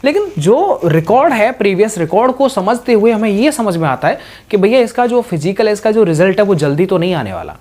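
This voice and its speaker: A man's voice: high voice, sing-song, a little fast, hardly any pauses, a little mellow. A man explaining things informally to students as a coaching teacher, repeating points and often directing the listener's attention. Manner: repetitive, instructive, with frequent verbal pointers to the listener.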